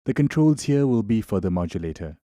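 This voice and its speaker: Casting: male tutorial instructor, very soft dark tone, lively intonation, informal and explanatory. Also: lowish voice, a little fast, no room sound. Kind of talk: tutorial narration.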